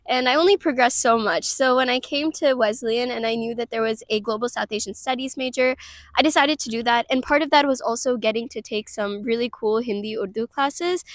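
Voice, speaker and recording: A young woman student in her twenties whose first language is Tamil talks in an easy, chatty way, full of "like" and "um". Her voice sits a little high. The recording is close and dry, with no room sound. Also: no room sound. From Cleveland, Ohio, US. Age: 20s. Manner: chatty, frequent "like" and "um" fillers. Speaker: college student. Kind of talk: casual interview monologue.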